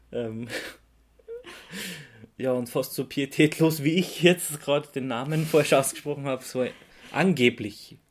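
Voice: medium pitch, slow, pauses often. A podcast host chatting casually in a jokey way, often ready to laugh. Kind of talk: casual chat.

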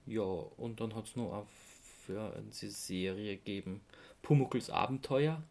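Halting man, casual podcaster. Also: on the low side, vocal fry, a little mellow. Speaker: podcast co-host. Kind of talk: casual podcast chat, recounting and giving opinions.

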